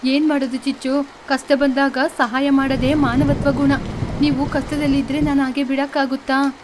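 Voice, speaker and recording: An earnest female storybook bird, acted by a woman in a cartoon bedtime tale. The voice is high and emotional, with clear ambient noise behind it. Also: level tone, normal speed, close to an everyday voice. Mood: compassionate. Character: kind, forgiving female sparrow and her pleading bird neighbours. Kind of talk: children's animated bedtime story.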